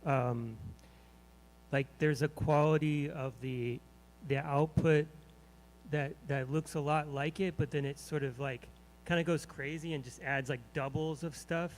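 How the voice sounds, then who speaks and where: A man's voice: a little high, fairly even intonation, unhurried, rough recording. A man in the audience asking a long question, searching for words and restarting often.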